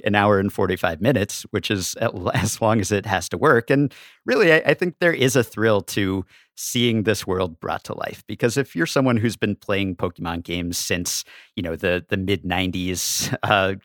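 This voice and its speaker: Lowish-voiced man in wry, casual discussion. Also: a bit bright, no room sound, studio quality. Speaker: podcast guest, staff writer.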